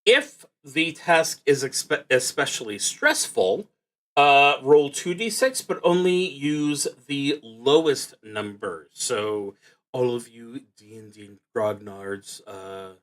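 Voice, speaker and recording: A man with a mid-pitched voice hosts a casual solo roleplaying livestream. He takes his time, haltingly working through the rules aloud and explaining them as he goes. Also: lively intonation, a bit bright. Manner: halting, thinking aloud while reading rules. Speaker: host streaming a solo tabletop roleplaying game.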